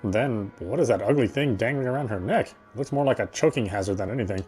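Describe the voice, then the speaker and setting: A man reading first-person story narration aloud in a theatrical, fussy gentleman's manner. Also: medium pitch, quick pace, faint music behind. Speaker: game player reading aloud.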